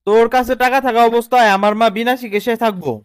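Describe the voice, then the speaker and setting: A man acts out an exasperated young cartoon husband with a Bangladeshi accent. His voice is high and quick, always protesting his lot, recorded close and dry. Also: fairly even intonation, smooth, dry recording. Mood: angry. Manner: protesting, complaining. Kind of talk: comedy cartoon dialogue.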